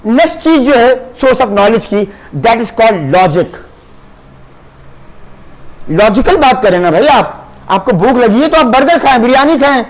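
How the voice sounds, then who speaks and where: Pakistani male lecturer, high voice, animated classroom delivery, mixes languages. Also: unhurried, low-quality recording. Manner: animated, switches between Urdu and English, acts out students' voices.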